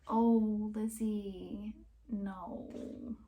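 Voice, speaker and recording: A woman's voice: mid-range voice, level tone, extremely slow, warm tone, slight background noise. Woman reading as an apologetic, sheepish boyfriend. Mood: awkward pity. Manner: hesitant, placating, earnest.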